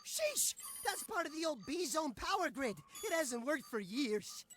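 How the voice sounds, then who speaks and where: A man's voice: extremely high pitch, very animated, a little slow, a bit bright, soft background music, full character voice. An actor voicing a fretful male tech genius in a video game, talking in nervous technical rambles. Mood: fretful, rambling. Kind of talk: animated video game cutscene acting.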